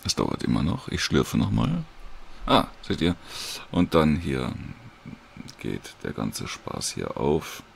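A man casually and hesitantly talks viewers through a screen recording in an informal, unhurried tutorial. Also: extremely low pitch, huge pitch swings, creaky, a little hiss or hum. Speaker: audiobook creator giving a download tutorial.

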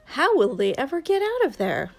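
A woman narrating a picture book aloud in a deliberate, suspenseful storytelling manner for young children. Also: sits high, huge pitch swings, creaky. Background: music.

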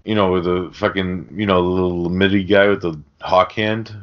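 A man's voice: deep voice, flat delivery, moderate pace. A man hosting a podcast, chatting casually about a film in a rambling way with plenty of swearing. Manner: rambling, profane. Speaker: podcast host. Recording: dull, lo-fi audio.

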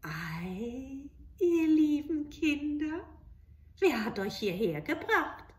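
A woman's voice: sits high, sing-song, slow pace, voice pushed up, full character voice. Woman acting a coaxing old fairy-tale witch. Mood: falsely sweet. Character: wicked old witch, falsely sweet and luring. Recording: roomy home recording.

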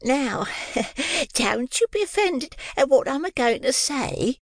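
A woman's voice: sits high, very animated, takes it slowly, dry recording, clean studio sound, heavily acted. A woman doing a gushing, fawning orphan-nurse. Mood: coaxing. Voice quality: old-fashioned working-class dialect. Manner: gushing, wheedling, sugary. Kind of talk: dramatized classic novel reading.

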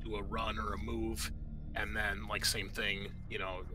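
A man narrating a tabletop roleplaying game as game master, explaining things in a halting, improvised way. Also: fairly low pitch, completely flat delivery, over music.

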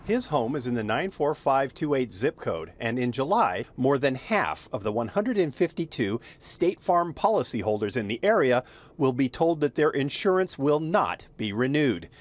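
Older guy doing a news package voiceover, bouncy intonation, steady and clear. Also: medium pitch. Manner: measured, lively reporting delivery. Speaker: field reporter. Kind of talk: news report narration.

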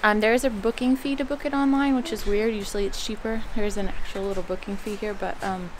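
A woman's voice: medium pitch, fairly even intonation, quick pace, background noise. A woman in her thirties talking to a handheld camera in a casual travel vlog, chatting through plans and practical tips for viewers. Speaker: travel vlogger. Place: in a car and outdoors at castles. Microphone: camera held at arm's length.

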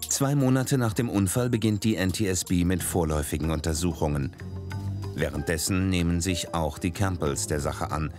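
A man narrating a documentary in a measured, grave scripted voice-over. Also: sits low, darkish tone. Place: voice-over studio. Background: dramatic documentary music.

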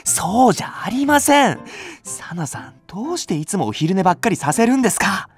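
A man's voice: high-pitched, sing-song, brisk, faint music behind. An actor playing an earnest, idealistic young male nursery teacher in a Japanese voice drama, politely protesting. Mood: flustered protest. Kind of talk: Japanese anime-style voice drama.

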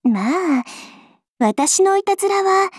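A woman's voice: very high-pitched, lively intonation, slow, no room sound, clean studio sound, exaggerated voice. A woman voicing a flustered, cheeky little girl demon in an anime game, polite but defensive and teasing. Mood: cheeky, challenging. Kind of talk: Japanese anime game character lines.

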